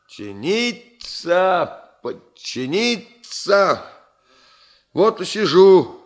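An actor playing an ailing, stubborn engineer, talking in a musing, ironic way in a Soviet radio drama. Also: male voice, high-pitched, sing-song, drawn-out words, full character voice. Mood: wry. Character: ailing male engineer, wry, reflective, stubborn.

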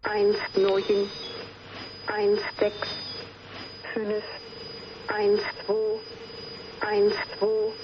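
A woman's voice: mid-range voice, drawn-out words, long pauses. A woman voicing an impersonal numbers station on shortwave radio, reading out digits mechanically. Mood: flat, impersonal. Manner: monotone, mechanical. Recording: distant, noisy, muffled shortwave radio transmission.

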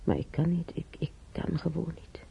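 An actress playing a weary, timid elderly former housemaid in a radio play, with a breathy, husky voice and halting, sighing delivery. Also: female voice, low-pitched, animated, whispered, full character voice. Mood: weary, musing. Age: older. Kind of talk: naturalistic radio drama monologue and dialogue. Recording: dull, muffled radio play recording.

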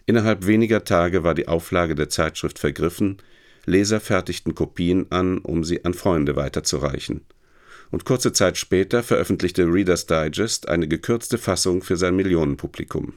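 A man reading a scripted introduction to a radio play in a measured, factual, articulate manner. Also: deep voice, darkish tone. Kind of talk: scripted narration.

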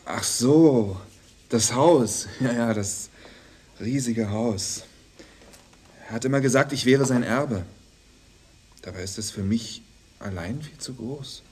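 An actor as a shy, halting young man. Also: medium pitch, darkish tone, a little hiss or hum, natural voice. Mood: hesitant, wistful. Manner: hesitant, halting short answers. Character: shy, lonely young man, hesitant and awkward. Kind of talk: 1990s German crime radio play acting.

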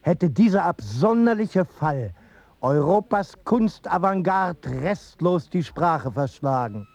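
An actor playing a wry storyteller, narrating measured anecdotes in a German radio play. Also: male voice, fairly high pitch, big pitch swings, a little mellow, no room sound, lo-fi sound, full character voice.

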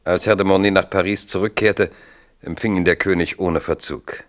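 Deep-voiced male radio drama narrator. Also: fairly even intonation, normal speed, a bit bright.

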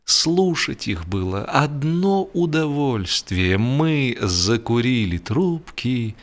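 A man's voice: medium pitch, very animated. Theatrical male narrator of humorous fiction. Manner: theatrical, measured, mock-solemn. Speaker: audiobook narrator. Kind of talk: scripted humorous literary narration.

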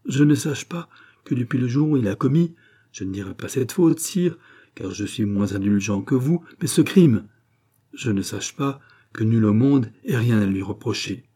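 A man with a husky voice reading the part of an intense male doctor who forces his will on a countess, declaiming with rising fervour. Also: mid-range voice, expressive, moderate pace, warm tone. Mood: pleading. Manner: commanding, rising to fervent declamation.

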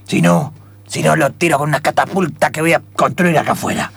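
A man's voice: fairly high pitch, steady tone, brisk, vocal fry, a bit bright, husky, exaggerated voice. Man playing an angry, bossy airport chief. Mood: angry, threatening. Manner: insistent, repeats threats. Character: angry bossy male airport chief, threatening his workers. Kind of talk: improvised radio theatre.

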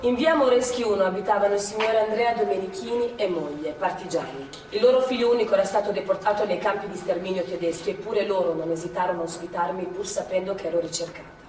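A woman's voice: mid-range voice, a little mellow, close to an everyday voice. An actress playing a plain-spoken, fiery former partisan woman, telling war memories in an emphatic, colloquial theatrical monologue. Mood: tense, recounting. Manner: colloquial, emphatic, halting for effect. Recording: echoey theatre, distant mic, faint noise.

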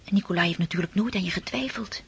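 An actress playing a sweet, wistful young girl in a radio play, speaking in hushed whispers. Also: on the low side, fast talker, creaky, slight background noise. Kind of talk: radio drama acting.

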